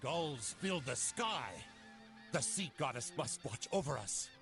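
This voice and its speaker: A man's voice: mid-range voice, animated, slow, vocal fry, fairly bright tone, faint music behind, heavily acted. A man playing a blunt, sardonic seasoned sailor in a video game cutscene. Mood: wry. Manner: blunt, wry, colourful. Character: gruff, salty old-hand sailor, blunt and sardonic.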